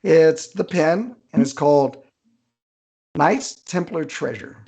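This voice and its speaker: A man's voice: on the high side, lively intonation, takes it slowly, dark tone, breathy edge. A man speaking as an author guest in a casual interview.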